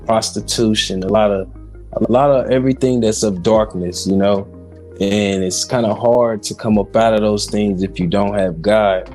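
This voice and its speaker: A man's voice: lowish voice, fairly even intonation, normal speed, darkish tone, faint music behind. An American man in his forties from Kansas City, Missouri, giving personal testimony as a podcast guest. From Kansas City, Missouri, United States. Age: 40s.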